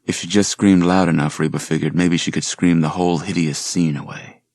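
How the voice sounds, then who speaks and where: Very deep, gravelly male audiobook narrator. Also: fairly even intonation, darkish tone, no echo. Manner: measured, dramatic storytelling. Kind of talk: scripted narration of a suspense horror novel.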